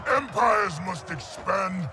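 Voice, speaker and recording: An actor playing a huge, proud, brutal warlord in a video game, bombastic and menacing with a gravelly voice. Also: male voice, high voice, lively intonation, takes it slowly. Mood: furious, commanding. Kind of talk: video game villain acting. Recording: very loud dramatic game music.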